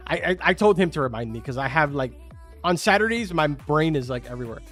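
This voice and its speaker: Young male streamer, Spanish as his first language, slightly high voice, loose and chatty, soft music underneath. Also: animated, fast talker. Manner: chatty, filler-heavy, answers chat questions. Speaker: game streamer. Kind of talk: casual live-stream chat with viewers. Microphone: studio microphone. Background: faint game music.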